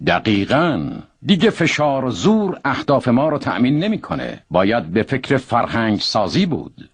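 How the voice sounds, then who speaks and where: Animated, demanding conspiracy boss. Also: male voice, medium pitch, husky. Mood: measured authority. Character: impatient male Western boss plotting regime change. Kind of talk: scripted propaganda film acting in Persian. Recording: music behind the voice.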